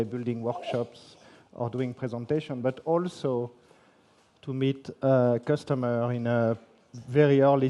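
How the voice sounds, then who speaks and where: A man from France with a French accent giving a technical conference presentation, halting often with fillers and restarts. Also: medium pitch, mellow. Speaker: conference speaker. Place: conference hall.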